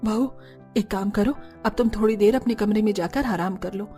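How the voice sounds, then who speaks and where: An actress voices a middle-aged cartoon mother-in-law. She is caring and homely, with a soft mellow tone and faint music behind her. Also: medium pitch, normal speed, lightly acted. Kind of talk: Hindi cartoon story acting.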